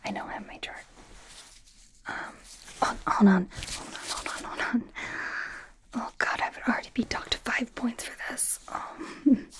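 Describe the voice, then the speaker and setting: A woman voicing a nervous, awkward young female werewolf medical student in a halting, breathy, mostly whispered ASMR roleplay. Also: fairly high pitch, lightly acted. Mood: flustered, earnest. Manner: flustered, halting, apologetic, self-coaching mumbles.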